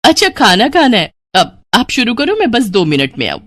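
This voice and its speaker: A woman's voice: on the high side, huge pitch swings, moderate pace, no echo. A woman voicing a cheerful young daughter who talks in a sweet, coaxing way.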